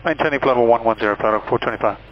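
A man working as a pilot, giving brisk reports over aviation radio. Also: medium pitch, background noise. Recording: muffled aviation radio, music behind.

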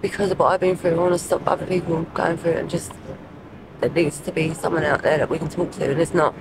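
A woman in her thirties with a South London accent answers hesitantly in a street interview. Her replies are short and plain. Traffic and street noise sit clearly behind her. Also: sits low, steady tone, quick pace. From Croydon, South London, England. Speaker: homeless interviewee. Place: outdoors in a city doorway at night. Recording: noisy street with traffic ambience.

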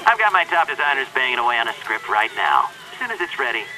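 An actor playing a harried game studio executive leaving an answering machine message in a video game. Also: male voice, medium pitch, very animated, quick pace, noisy background. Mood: nervous, forced cheer. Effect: answering machine filter. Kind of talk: video game acting, voicemail.

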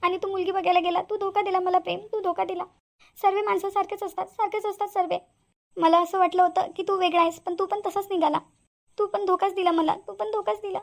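A woman's voice: very high-pitched, fairly even intonation, fairly quick. A woman voicing a heartbroken young village woman, who talks tearfully and repeats her complaints. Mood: hurt.

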